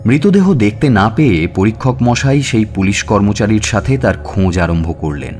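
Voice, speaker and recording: A man narrating a scripted suspense story in a measured, expressive, dramatic way. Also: fairly low pitch. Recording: music bed under narration.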